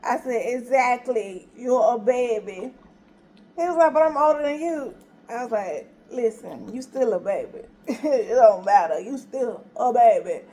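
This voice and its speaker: A woman's voice: on the high side, a little slow. A woman casually telling a story, acting out both sides of a conversation with laughter and exasperation. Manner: animated retelling, acts out both sides with quoted dialogue.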